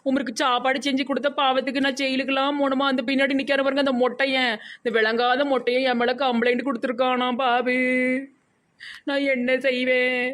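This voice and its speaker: A woman's voice: high voice, flat delivery, fairly quick, a bit bright. A woman playing a whiny, scheming young village wife in a comic cartoon, wailing and pleading in Tirunelveli Tamil. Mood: fearful, whiny.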